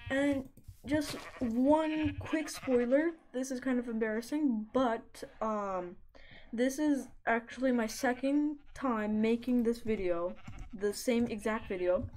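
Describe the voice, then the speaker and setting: A woman's voice: on the high side, slow pace, darkish tone, low-quality recording. Chatty young-sounding tutorial narrator, woman. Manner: chatty, talks to the animals in the game. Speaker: Minecraft let's play creator. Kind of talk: casual gameplay tutorial narration.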